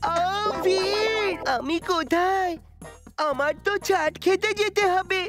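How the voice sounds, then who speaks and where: An actor voicing an excitable little sidekick with exaggerated, drawn-out delivery in a children's cartoon dub. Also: very high-pitched, loud music behind the voice. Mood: excitable, dazed.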